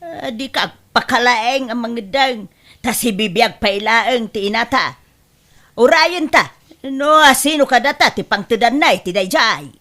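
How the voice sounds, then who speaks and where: Woman acting bright-voiced, bossy eldest daughter. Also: a little high, lively intonation, a little slow. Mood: quarrelsome. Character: bossy, quarrelsome middle-aged eldest daughter. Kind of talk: Ilocano comedy radio-style drama.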